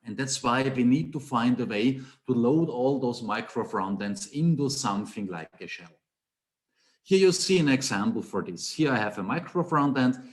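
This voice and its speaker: A man's voice: medium pitch, animated, moderate pace. Austrian man explaining a technical topic. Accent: Austrian German. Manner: explanatory, rhetorical questions, emphatic repetition. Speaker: conference speaker, Angular trainer. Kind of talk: technical talk with slides.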